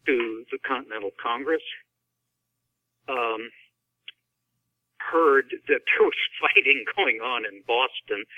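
A man's voice: medium pitch, dry recording. A man talking casually as a guest author, explaining and telling stories in a conversational way. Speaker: author guest discussing his novel. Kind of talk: casual discussion. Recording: muffled, dry phone-line sound.

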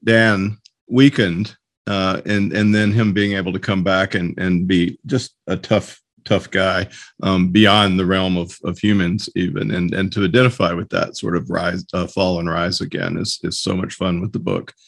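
A man hosting a podcast interview in a casual, halting way, often searching for words. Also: deep voice, little pitch movement, gravelly, no room sound.

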